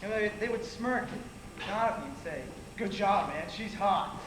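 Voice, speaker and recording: Casting: male actor as wounded, earnest young man, high voice, stage drama; echoey, noisy theater capture. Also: animated, moderate pace. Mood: bitter, wounded. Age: young adult. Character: hurt, earnest young man betrayed by friends. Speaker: stage actor in a one-act play. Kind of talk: amateur stage acting, projected dialogue. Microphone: distant audience camera.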